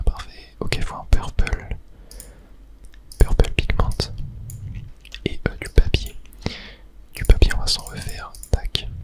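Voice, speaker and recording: A person whispering casual ASMR game commentary, chatting and thinking aloud in a soft, breathy, unvoiced whisper. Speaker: gaming video host.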